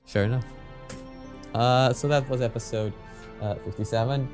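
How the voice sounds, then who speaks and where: A man hosting a reaction video, commenting casually and thinking out loud in a halting, hesitant way. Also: medium pitch, fairly even intonation, soft background music.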